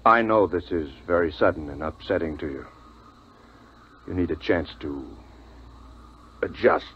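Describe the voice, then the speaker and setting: An actor playing a brisk, incredulous survivor scout in a 1950s radio drama. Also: male voice, deep voice, slight background noise. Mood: soothing. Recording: muffled vintage 1950s radio recording.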